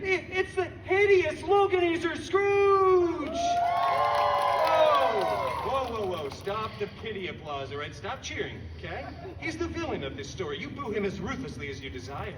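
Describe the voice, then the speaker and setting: A sarcastic masked mercenary cracks jokes to a live audience. His voice is high and bounces all over the place. He is heard from a distance on an echoey outdoor stage with music playing behind. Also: normal speed, over a noisy crowd, heavy background noise. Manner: sarcastic, animated, fourth-wall jokes. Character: wisecracking masked male mercenary storyteller. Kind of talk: live theme-park comedy show. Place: outdoor theme-park stage. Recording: roomy outdoor stage sound from far off, music.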